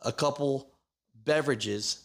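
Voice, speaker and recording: A man's voice: mid-range voice, takes it slowly, creaky. A man hosting a trucking channel, recapping a story in casual commentary with many fillers.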